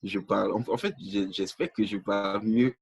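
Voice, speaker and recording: A man's voice: on the low side, steady tone, normal speed, vocal fry, slightly rough. A young man from Nigeria, a podcast guest, talking hesitantly with many restarts and fillers. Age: young adult. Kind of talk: casual interview chat.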